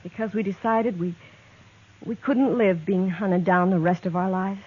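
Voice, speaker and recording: A woman's voice: mid-range voice, steady tone, unhurried, breathy edge. Hesitant young woman on a tinny old radio line; earnest, pleading, stumbling over words. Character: young woman, torn and pleading, in love with an outlaw. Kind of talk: 1950s radio drama acting. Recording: tinny vintage radio transcription.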